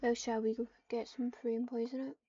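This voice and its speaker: A woman's voice: a little high, little pitch movement, brisk, mellow, slightly rough. A woman voicing an angry, scheming, murderous female cat in an online roleplay. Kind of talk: online roleplay character dialogue. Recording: muffled lo-fi mic, noisy, keyboard clicks.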